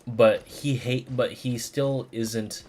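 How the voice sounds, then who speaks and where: A man livestreaming a game, chatting casually in a rambling, halting way as he searches for words. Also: mid-range voice, fairly even intonation, darkish tone. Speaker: game streamer. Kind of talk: casual livestream commentary, replying to chat.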